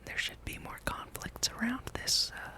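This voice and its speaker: A person speaking in a breathy, unvoiced whisper, giving rambling, playful ASMR commentary while playing a video game. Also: moderate pace. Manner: hushed, playful musing, reads game dialogue aloud. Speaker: gameplay streamer.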